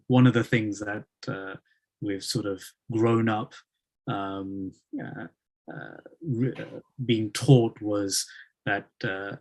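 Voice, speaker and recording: A man hosting an interview over a video call, asking questions hesitantly with many fillers. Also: fairly low pitch. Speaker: host.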